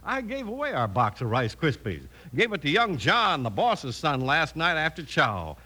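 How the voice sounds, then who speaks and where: An actor playing an easygoing, folksy cowhand making a pitch in an old-time radio commercial. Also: male voice, huge pitch swings, normal speed, pushed and bright, slightly rough, exaggerated voice. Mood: cheery, explaining.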